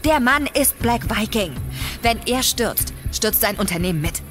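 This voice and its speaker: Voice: mid-range voice, lively intonation, unhurried, music in the background, close to an everyday voice. An actor playing a pragmatic, cynical intelligence superior in a radio drama, speaking matter-of-factly. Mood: cool, cynical. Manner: matter-of-fact, explaining.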